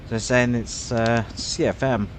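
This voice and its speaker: A man's voice: medium pitch, fairly even intonation, measured pace, a little hiss or hum. A man giving casual, musing commentary while streaming a flight simulator, with frequent fillers.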